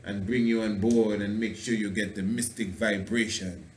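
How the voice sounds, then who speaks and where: A man's voice: on the low side, steady tone, moderate pace, a little hiss or hum. A man, a priest hosting a radio talk show, preaching in a passionate, rambling way and playing with words. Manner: passionate, rambling, fond of wordplay. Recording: roomy echo.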